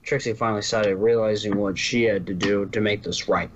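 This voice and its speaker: A man's voice: fairly low pitch, steady tone, brisk. A man reading a scripted fan fiction story as its narrator. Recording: dull lo-fi, faint background noise.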